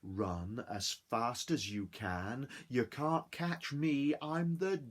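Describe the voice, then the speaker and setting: A man voicing a cheeky gingerbread man who taunts in a slow, sing-song way. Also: medium pitch, steady tone. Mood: taunting.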